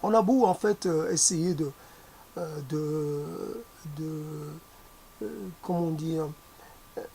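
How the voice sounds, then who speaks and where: Non-native French speaker, reflective musing, unhurried delivery, hesitant, frequent pauses and restarts. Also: medium pitch, vocal fry, warm tone, a little hiss or hum. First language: not French. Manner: hesitant, many fillers and restarts, searching for words. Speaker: speaker on language and words.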